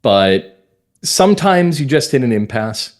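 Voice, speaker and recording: A man's voice: mid-range voice, very animated, unhurried. A middle-aged man talking at length in a conversational interview, explaining things as a guest. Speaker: guest, mystery playwright and script consultant.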